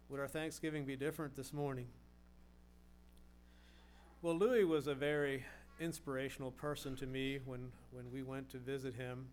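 A man's voice: on the high side, a little hiss or hum. A middle-aged man preaching a sermon, earnest, asking rhetorical questions and often repeating words as he goes.

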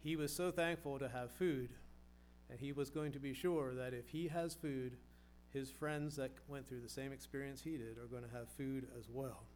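A man's voice: medium pitch, steady tone, normal speed, darkish tone, a little hiss or hum. A middle-aged man preaching a sermon, earnest, asking rhetorical questions and often repeating words as he goes.

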